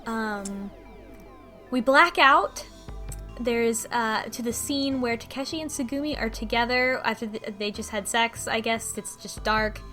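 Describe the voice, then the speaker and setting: A woman's voice: fairly high pitch, unhurried, pushed and bright, soft background music. A woman casually recapping a visual novel on a podcast, with lots of ums and restarts. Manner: rambling, many ums and restarts, laughs often.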